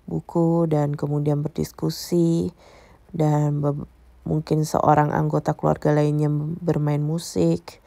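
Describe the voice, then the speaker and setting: Low-pitched, creaky-voiced woman vlogger. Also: mellow, no echo. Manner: explanatory, addressing viewers directly. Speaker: travel vlogger. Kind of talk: casual vlog tour narration.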